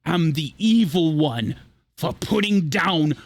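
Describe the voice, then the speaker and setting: A man playing a bitter, theatrical villain in a tabletop roleplay, delivering grandiose, deliberate, impassioned speeches. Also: a little high, lively intonation, no room sound, full character voice. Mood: grave, embittered. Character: bitter, theatrical villain justifying his fall from hero. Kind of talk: dramatic in-character monologue in a tabletop roleplay.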